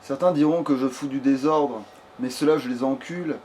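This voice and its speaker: A man's voice: mid-range voice, fairly even intonation, moderate pace, mellow, slight background noise. A man giving casual, rambling gameplay commentary as a let's play host, swearing freely and talking crudely.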